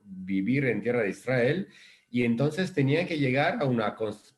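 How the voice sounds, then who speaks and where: A man's voice: mid-range voice, darkish tone. A man from Italy with an Italian accent, a rabbi giving a thoughtful explanatory talk with many restarts over a video call. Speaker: rabbi, guest speaker.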